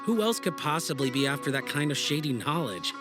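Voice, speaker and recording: An actor playing a confident young student in a video game, explaining things in an assured, reassuring way. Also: male voice, a little high, sing-song, brisk, over music. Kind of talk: video game cutscene acting.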